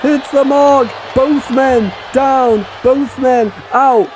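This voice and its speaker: A man calling a wrestling match play by play, excitable and often shouting over the action. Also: very high voice, drawn-out words. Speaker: play-by-play commentator. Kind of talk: wrestling commentary. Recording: loud crowd and noise behind.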